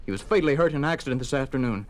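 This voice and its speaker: A man's voice: medium pitch, expressive, rapid-fire, close to an everyday voice. A man playing an anxious, earnest, loyal son-in-law in a 1950s radio drama, speaking with urgency. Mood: indignant pleading. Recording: faintly noisy old radio recording.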